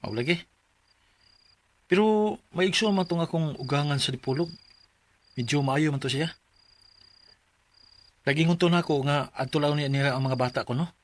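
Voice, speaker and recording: A man's voice: fairly high pitch, moderate pace, pauses often, lightly acted. A man acting a humble, proud widowed father of five in a Bisaya radio drama, speaking in a plaintive, earnest way with a Filipino Bisaya accent. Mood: wounded pride.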